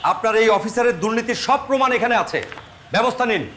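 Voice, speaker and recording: A man's voice: very high-pitched, lively intonation, brisk, noisy background. A man playing a righteous, stern young army captain in a story, with a firm, commanding manner.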